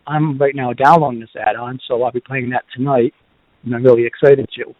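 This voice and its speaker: A man's voice: mid-range voice, dry recording. A man with a Boston accent hosting a podcast, chatting casually through reviews with lots of ums and uhs. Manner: rambling, many ums and uhs. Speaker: podcast host. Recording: dry, phone-like lo-fi sound.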